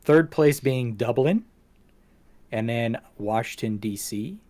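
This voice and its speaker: A man's voice: mid-range voice, slow pace, warm tone. Male podcast host, rambling and casual. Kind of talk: casual podcast chat, going through show stats.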